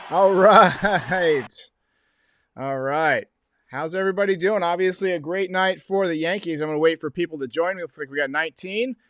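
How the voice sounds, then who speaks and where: High-voiced man, slightly hoarse, livestreaming. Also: normal speed, a bit bright, no room sound. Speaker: host of a baseball livestream show. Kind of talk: casual livestream postgame commentary. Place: small home room. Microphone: close desk microphone.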